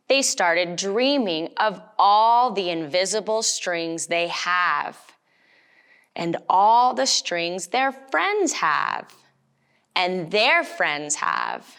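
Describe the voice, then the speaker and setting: A woman reading a picture book aloud to young children, gently and with little questions to them as she goes. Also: fairly low pitch, clean tone. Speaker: storytime reader.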